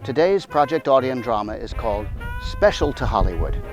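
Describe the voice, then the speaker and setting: A man presents a scripted introduction as the host of a radio drama series. His voice is medium in pitch, with music clearly behind it. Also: big pitch swings, normal speed. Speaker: host, announcer.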